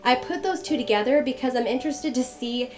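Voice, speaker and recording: A young woman with a fairly high voice talks through her planning in a chatty, friendly way, still a little stuffy from a cold. Her voice-over sounds dull and lo-fi, and instrumental music plays clearly underneath. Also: brisk. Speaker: bullet journal vlogger. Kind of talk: casual voice-over narration.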